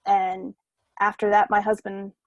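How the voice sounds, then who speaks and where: A woman's voice: mid-range voice, completely flat delivery, a little slow, no echo, dull audio. A woman guest on a podcast, an artist, chatting informally and with some self-deprecation about herself. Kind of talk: casual interview chat.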